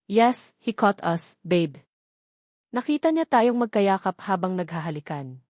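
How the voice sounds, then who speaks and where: A woman's voice: mid-range voice, big pitch swings, a little slow, a little mellow, no room sound, clean studio sound. Woman narrator as Blue, an ardent young Filipino lover. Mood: reassuring. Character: Blue, ardent young man wooing his secret lover.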